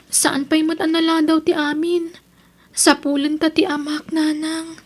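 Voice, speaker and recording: A woman's voice: sits high, flat delivery, measured pace. A woman with an Ilocano accent playing an earnest, pleading teenage daughter who longs for acceptance in a radio drama.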